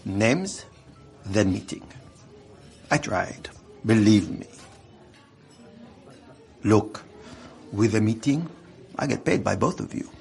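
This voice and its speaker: An actor playing a worldly, wily Corsican gangster informant with a French accent and a suave, genial manner in a radio drama. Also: male voice, fairly low pitch, expressive, slow, gravelly, long pauses, faint music behind, heavily acted. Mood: firm, bargaining. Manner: chuckling, suave, bargaining.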